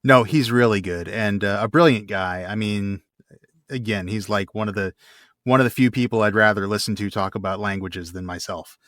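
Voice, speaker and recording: Low-voiced male podcast co-host. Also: normal speed, a bit bright, dry recording, studio quality. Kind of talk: casual chat.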